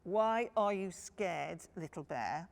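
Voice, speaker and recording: Woman as a patient big bear, creaky voice. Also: on the low side, lively intonation, takes it slowly, heavily acted. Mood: questioning. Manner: gentle, coaxing, parental. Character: big grown-up male bear, patient and caring. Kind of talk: children's picture-book story reading.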